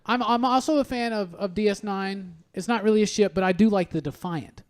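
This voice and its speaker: High-pitched male podcast host. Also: fairly quick. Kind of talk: casual podcast chat.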